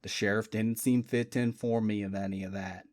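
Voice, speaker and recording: A man voicing a composed, slow-talking male Southern detective with a Tidewater drawl. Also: steady tone, a little mellow, no room sound, clean studio sound, lightly acted. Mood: apologetic. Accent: Tidewater Virginia drawl. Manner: slow, matter-of-fact, low and cool.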